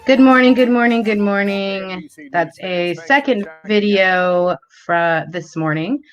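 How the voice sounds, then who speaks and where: A woman hosting a livestream, speaking slowly and casually as she introduces the videos she is playing. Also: medium pitch, faint music behind. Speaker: livestream host. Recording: dull lo-fi stream audio.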